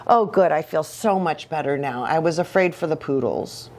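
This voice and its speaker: A woman's voice: low voice, normal speed, a little mellow, voice pushed down. A woman voicing a teasing, smug little sister kitten in a storybook, crooning and tattling. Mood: sarcastic relief.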